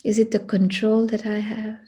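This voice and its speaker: A woman guiding a meditation in a hushed, breathy voice, speaking deliberately in short phrases with long pauses. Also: medium pitch, level tone. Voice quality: breathy, husky. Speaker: meditation guide. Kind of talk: guided meditation.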